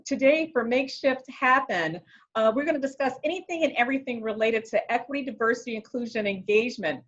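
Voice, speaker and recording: Webinar host, a woman with a fairly high voice, formal and measured, dull lo-fi call audio. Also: a bit bright. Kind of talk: reading a scripted introduction. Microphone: video call.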